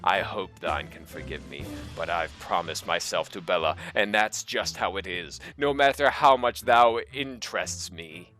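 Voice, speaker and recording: Guy playing a deep smooth melodramatic heartthrob, olde timey talk, comedy reading, music under it. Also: a little slow, few pauses, bright, forceful tone. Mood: pleading. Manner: suave, melodramatic. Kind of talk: comic dramatic reading of fan fiction. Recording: music behind the voice.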